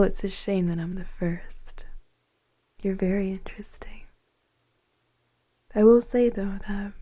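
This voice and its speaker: A woman plays a mature, affectionate woman in her forties, flirting gently in an ASMR roleplay. Her voice is mellow and mid-pitched, soft and breathy, often dropping close to a whisper. She talks intimately and takes her time. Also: lightly acted. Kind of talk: ASMR romantic roleplay, intimate one-sided dialogue.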